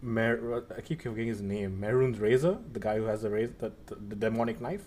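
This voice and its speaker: A man's voice: fairly low pitch, brisk, a little mellow. A young man hosting a casual chat about video games, talking in a rambling way with many fillers and restarts. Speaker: podcast host.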